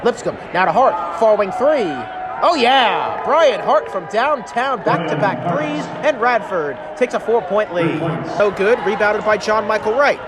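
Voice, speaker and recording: A man doing live radio play-by-play of basketball, with excitable, drawn-out calls on big shots. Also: high-pitched. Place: indoor college basketball arena. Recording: loud arena noise and crowd behind.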